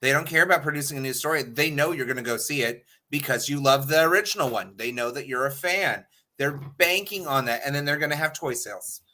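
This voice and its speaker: A man's voice: mid-range voice, fast talker, hardly any pauses, bright, forceful tone. A man talking casually and bluntly as a panelist on a talk show, opinionated and freely swearing. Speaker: podcast panelist.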